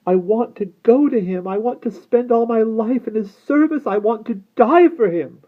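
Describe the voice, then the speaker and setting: A man's voice: very high-pitched, normal speed, phone-quality sound, pitched above the performer's own voice. A man voicing a spellbound, fearful young woman in a dramatic story reading, pleading and faltering. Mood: despairing, devoted. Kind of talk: dramatic audiobook-style character reading.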